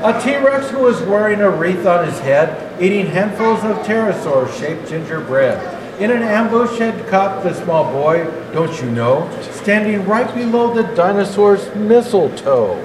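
An older man reading a children's rhyming storybook aloud as Santa Claus, in a measured, expressive delivery. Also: high voice, crowd noise. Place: indoor natural history museum hall. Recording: echoey hall, clearly noisy background.

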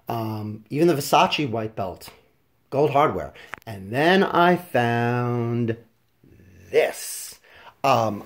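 Man with a cold-stuffed voice, chatty home review vlogger, filmed on a phone, easygoing with frequent fillers. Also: mid-range voice. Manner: chatty, many ums and restarts, drops softer for close-up details. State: congested from a head cold. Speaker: fashion vlogger. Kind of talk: casual product review. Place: indoors at home, filming over a carpeted floor.

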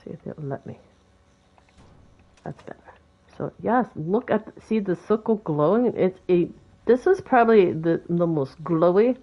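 A woman with a Boston accent talks through a game build in a loose, rambling way, chatting to the objects on screen. Her voice sits fairly low. The recording sounds a little dull and lo-fi. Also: lively intonation, a little slow. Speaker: game video creator. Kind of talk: casual gameplay tutorial commentary.

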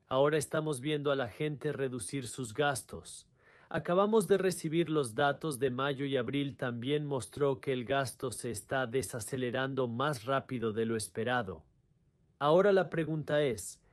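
A man's voice: mid-range voice, dry recording, studio quality. A man presenting a scripted explainer in the manner of a dubbed video host.

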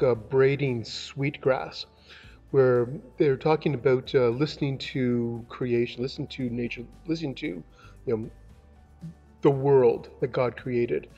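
An older man, a pastor, giving a relaxed, conversational devotional and prayer into a webcam in a large indoor room. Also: mid-range voice. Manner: conversational, relaxed, musing with asides. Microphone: webcam, close framing. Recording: dull lo-fi webcam sound.

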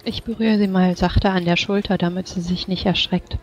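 A woman playing a tabletop game, improvising in character in a gentle, coaxing, hesitant way. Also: fairly low pitch, fairly even intonation, normal speed, muffled recording. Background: faint music.

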